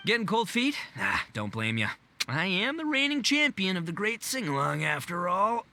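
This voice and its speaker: Brash, bright-voiced young gang boss. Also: male voice, high-pitched, very animated, moderate pace, exaggerated voice. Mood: cocky teasing. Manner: loud, slangy, swaggering. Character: brash young gang boss, boisterous, cocky, good-natured. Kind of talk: video game voice acting.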